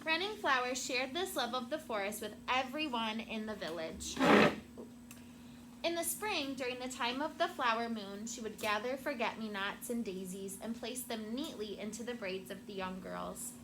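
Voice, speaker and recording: A woman teacher reading a storybook aloud to children in a classroom, with expressive, deliberate phrasing and prompting questions. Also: fairly high pitch. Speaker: elementary school teacher. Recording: very noisy classroom recording.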